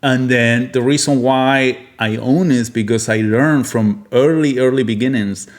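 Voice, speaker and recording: A man's voice: mid-range voice, steady tone, unhurried. A man from Argentina hosts an unscripted, opinionated monologue, speaking bluntly and emphatically and often repeating himself. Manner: blunt, emphatic, repetitive, swearing. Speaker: podcast host, physician.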